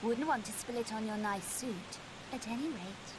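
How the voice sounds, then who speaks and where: A woman's voice: a little high, normal speed, background noise, close to an everyday voice. An actress playing a plucky, sarcastic young girl adventurer in a video game, with dry, teasing delivery. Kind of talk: video game cutscene acting.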